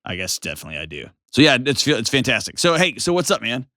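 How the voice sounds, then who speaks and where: A man's voice: mid-range voice, big pitch swings, quick pace, pushed and bright, no room sound, studio quality. A man from Texas with a Texan accent, hosting a call-in advice show and asking callers direct, probing questions. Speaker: radio call-in show host. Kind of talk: casual call-in advice chat.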